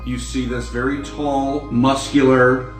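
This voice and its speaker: A man running an improvised tabletop game as game master, narrating casually in a halting, drawn-out way. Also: medium pitch, level tone. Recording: echoey room, slightly distant, faint music.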